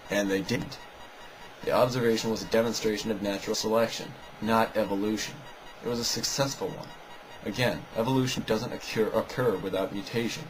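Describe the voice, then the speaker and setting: A man with a fairly low voice delivers scripted commentary as a rebuttal. He sounds sarcastic and dismissive as he argues his points. Music plays clearly behind him throughout. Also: poor audio. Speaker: video narrator rebutting another video.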